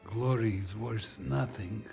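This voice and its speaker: A man's voice: lowish voice, slow, vocal fry. An actor playing a protective, affectionate older Russian father with a Russian accent in a video game. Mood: tender, grave. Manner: gentle, teasing, fatherly. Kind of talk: dramatic video game acting. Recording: music behind the voice.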